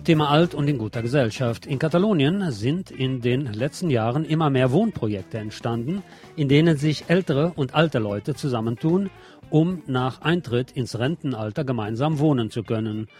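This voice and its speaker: A man presents a radio news magazine from a script, speaking at a middling pitch. Music plays along with his narration. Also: expressive, normal speed, a little mellow.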